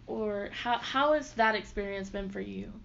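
A woman's voice: medium pitch, moderate pace, creaky, fairly bright tone. A young woman interviewing a singer, asking casual questions with hesitant fillers. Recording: dull lo-fi audio with faint noise.

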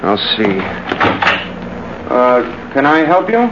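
Nervous, stammering weakling in old radio. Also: male voice, medium pitch, big pitch swings, slow. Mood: uneasy, deferential. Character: anxious, weak-willed male accomplice, easily led. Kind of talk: 1940s radio drama acting. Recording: muffled old radio with clear hiss.

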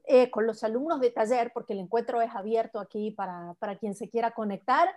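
A woman's voice: fairly high pitch, brisk, a little mellow. Chatty Argentine woman on a video call. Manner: chatty, friendly, frequent restarts. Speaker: host of book club. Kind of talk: casual chat.

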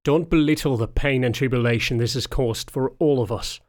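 A man's voice: medium pitch, normal speed, natural voice. A man voicing a big, lean, older wolfhound knight in an audiobook, formal and restrained, with strained emotion underneath. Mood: biting, strained.

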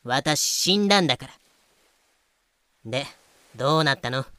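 A woman's voice: extremely low pitch, expressive, normal speed, a bit bright, dry recording. A woman playing a haughty, blunt female pirate in a fan-made audio drama. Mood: dryly dismissive. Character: cool, scornful female pirate, dryly dismissive.

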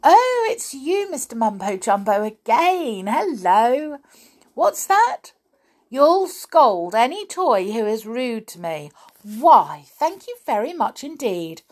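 A woman's voice: on the high side, very animated, takes it slowly, pitched above the performer's own voice, full character voice. A woman voicing a sly, bossy girl doll in a children's story, gushing and mock-polite. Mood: gushing pretence.

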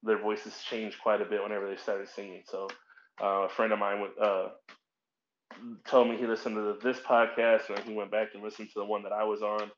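A man's voice: on the low side, little pitch movement, fairly quick, slight background noise. A man hosting a podcast with a Midwestern American accent, talking casually and rambling a little with many fillers. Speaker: podcast host. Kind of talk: casual conversation. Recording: dull lo-fi audio.

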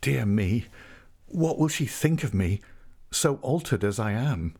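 A man's voice: medium pitch, lively intonation, a little slow, darkish tone. A man voicing a gaunt, remorseful husband who pleads earnestly and tenderly, in a dramatised audiobook of a classic novel. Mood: anxious. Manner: earnest, imploring.

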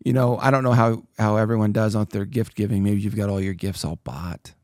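A man hosting a casual church podcast, talking with animated, preachy emphasis and reading scripture aloud. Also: lowish voice, brisk, dry recording, clean studio sound. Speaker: pastor and podcast host.

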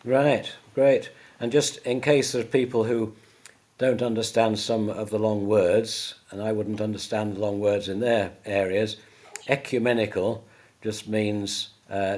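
A man acting as interviewer in an informal church conversation, explaining things in a slightly halting way. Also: fairly low pitch, a little mellow.

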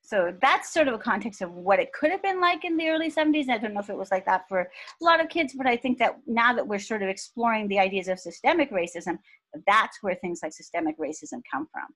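A woman in her fifties, from Pakistan, talking thoughtfully as a podcast guest with soft laughs. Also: medium pitch, expressive, fast talker. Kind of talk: reflective interview talk.

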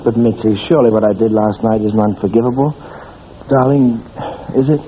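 A man's voice: fairly low pitch, fairly even intonation, fairly quick, natural voice. Lovestruck young army officer, played by a man, eager, pleading, flustered; vintage radio drama, thin noisy sound. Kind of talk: 1940s radio play acting. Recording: tinny, noisy old radio recording.

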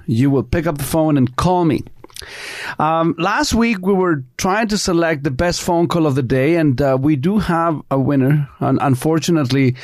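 A man's voice: fairly high pitch, darkish tone, no echo. A man hosting a weekend radio talk show, chatting casually and teasing his co-hosts in a studio. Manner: teasing, joking, rambling. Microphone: studio microphone.

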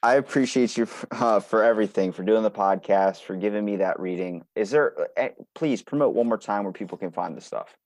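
A man's voice: lowish voice, brisk, darkish tone, dry recording. A man hosting a podcast in casual chat, self-deprecating and free with swearing. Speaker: podcast host.

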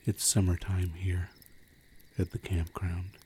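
A man narrating a guided sleep meditation in a slow, soothing, hushed manner with long pauses. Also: low-pitched, completely flat delivery. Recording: campfire and cricket ambience, faint noise. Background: crickets and crackling fire.

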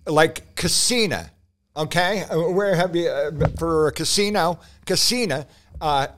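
A man co-hosting a podcast, telling stories in a halting way with punchy asides. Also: on the high side, a bit bright, a little hiss or hum. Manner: storytelling, halting with many uh fillers, punchy asides. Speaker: podcast co-host. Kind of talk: casual chat.

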